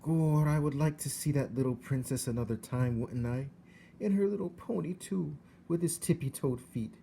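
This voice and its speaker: A man's voice: a little high, moderate pace, gravelly, mellow, a little hiss or hum, pitched above the performer's own voice. A man voicing a naive, hopeful twelve-year-old servant girl with rustic speech in a storybook reading. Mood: wistful. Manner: rustic, ungrammatical, exclaiming speech.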